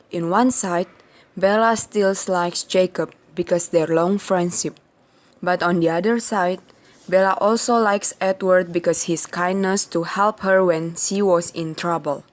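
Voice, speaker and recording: A woman's voice: lowish voice, fairly even intonation, unhurried. A woman narrating an amateur radio play from a script.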